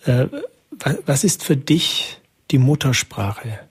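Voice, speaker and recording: A male radio host leads an interview in a conversational tone. His voice is slightly husky, with a mid-range pitch. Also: expressive, slow pace. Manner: conversational, leading questions.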